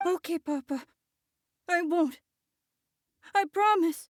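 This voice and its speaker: A woman's voice: very high voice, slow, no room sound, clean studio sound. A woman voicing a small, timid monster boy in a video game, hesitant, innocent and childlike. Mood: meek. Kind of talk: dramatic video game voice acting.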